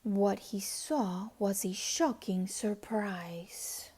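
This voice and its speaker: A woman narrating a children's storybook aloud in an expressive, dramatic way, at times hushed to a whisper. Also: mid-range voice, very slow, slightly rough. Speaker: storybook reader.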